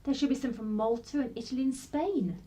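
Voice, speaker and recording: A woman's voice: high voice, brisk, warm tone, close to an everyday voice. A woman playing a polite, composed lady with a secretive past in a radio drama, genteel and wry. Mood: polite, reflective.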